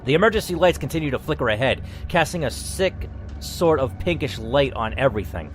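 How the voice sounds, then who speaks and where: A man hosting a dramatic story reading, who reacts out loud and swears as he weighs each choice. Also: medium pitch, big pitch swings, a little fast, faint music behind. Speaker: host reading an interactive story.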